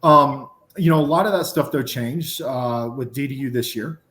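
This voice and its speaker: A man's voice: medium pitch, moderate pace, fairly bright tone, reverberant. A man with a Southern drawl, a podcast guest who runs his words together and fills with um and you know. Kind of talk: casual podcast conversation.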